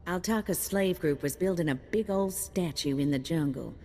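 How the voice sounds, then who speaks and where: Actress as a folksy low-voiced bounty broker woman. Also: moderate pace, a little mellow, in a large hall, a little hiss or hum, lightly acted. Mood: matter-of-fact, folksy. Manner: folksy, colloquial. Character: folksy, easygoing female bounty broker, drawling gambler talk. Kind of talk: video game voice acting.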